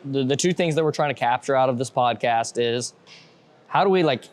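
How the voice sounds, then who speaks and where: A man's voice: mid-range voice, brisk. A man hosting a podcast in loose, rambling casual chat, full of restarts. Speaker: podcast host.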